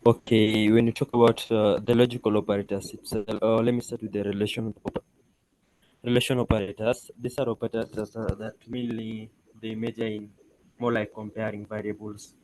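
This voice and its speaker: A man answering a question over an online call, with a lowish, fairly flat voice. He hesitates often and fills gaps with "uh". There is faint noise on the line. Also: a little fast, low-quality recording. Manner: hesitant, many fillers. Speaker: student on a call. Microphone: online call mic.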